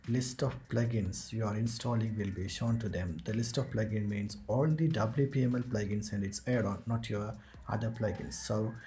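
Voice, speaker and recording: A man hosting a screen-recorded software tutorial, explaining each step as he clicks through it. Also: on the low side, slight background noise. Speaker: tutorial host.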